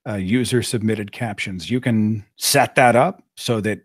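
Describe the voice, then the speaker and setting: A middle-aged man with a raspy voice, hosting a casual live-stream chat and rambling along with plenty of fillers. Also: on the low side, unhurried, dry recording, studio quality. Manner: rambling, conversational, with frequent fillers. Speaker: podcast host.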